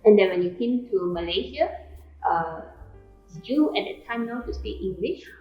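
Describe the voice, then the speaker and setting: A woman hosting an interview in a shop, speaking slowly and hesitantly with fillers. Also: mid-range voice, animated, dull audio, faint music behind. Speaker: host, interviewer. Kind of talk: casual interview. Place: small shop café indoors.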